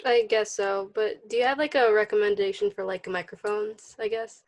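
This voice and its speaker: A woman, an acting student, casually asking questions in an online class in a tentative, halting way. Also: medium pitch, fairly even intonation.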